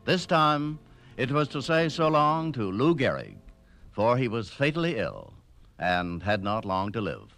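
A man narrates a radio documentary in a mid-pitched voice with lively swings. The delivery is scripted and nostalgic, with music clearly behind it. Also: a little slow.

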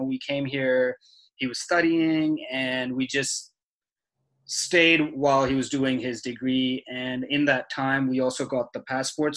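Young man, from dubai, podcast guest, measured and thoughtful, mid-pitched. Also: fairly bright tone, rough recording. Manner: thoughtful, measured, with frequent fillers. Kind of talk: conversational interview.

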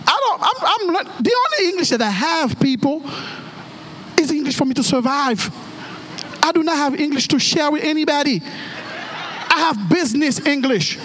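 A man with a Haitian accent, a native Creole and French speaker, preaching a storytelling sermon in an animated way and acting out dialogue with exclamations. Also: very high-pitched, normal speed, pauses often, a little hiss or hum, low-quality recording.

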